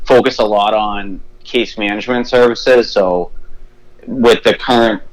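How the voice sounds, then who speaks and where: A man with a Boston accent, a treatment center head calling in as a guest and calmly explaining his program. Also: lowish voice, unhurried, poor audio. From Boston area. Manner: easygoing, explanatory, lists services steadily. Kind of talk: casual phone interview. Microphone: phone line.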